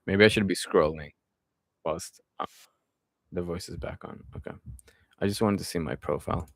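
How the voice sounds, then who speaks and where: A man in his twenties streaming live, reading viewers' comments aloud and answering them in a relaxed, friendly way before drifting back to his topic. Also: low-pitched, moderate pace, creaky, no echo, clean studio sound. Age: 20s. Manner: relaxed, friendly, often trails off with fillers. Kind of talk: casual live-stream chat.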